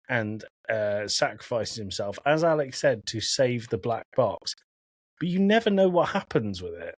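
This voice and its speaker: A man's voice: mid-range voice, dry recording. A man hosting a podcast, talking casually about films in a conversational style. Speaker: podcast host. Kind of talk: casual film discussion.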